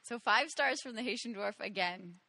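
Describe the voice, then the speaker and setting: A woman co-hosting a podcast, giggly and soft-spoken, chatting and reading out listener feedback. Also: mid-range voice, moderate pace, no room sound, rough recording. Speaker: podcast co-host.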